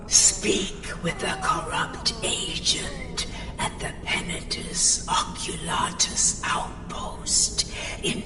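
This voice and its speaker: An actress playing an ancient, commanding spirit mother in a fantasy game, creaky and breathy, solemn and ominous, through heavy ghostly reverb. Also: mid-range voice. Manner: slow, ominous, drawn-out. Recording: long cavernous reverb, distant, music behind.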